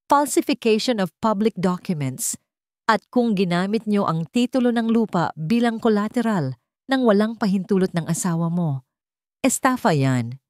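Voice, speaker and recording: A woman's voice: mid-range voice, animated, moderate pace, a little mellow, no echo, pitched above the performer's own voice, close to an everyday voice. Woman acting stern, serious male lawyer, dry sound. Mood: grave.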